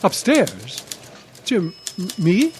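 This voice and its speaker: Man acting genial, rambling old newspaperman, lively high pitch. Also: extremely slow, full character voice. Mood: puzzled. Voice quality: hesitant, stammering when flustered. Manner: genial, meandering, absent-minded. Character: mild, rambling, loyal old newspaper columnist, flustered and deferential. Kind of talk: old-time radio drama acting. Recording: live stage recording with background noise.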